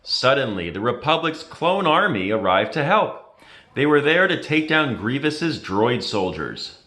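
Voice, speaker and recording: Expressive male storybook reader. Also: medium pitch, a little slow. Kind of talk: children's storybook read-aloud.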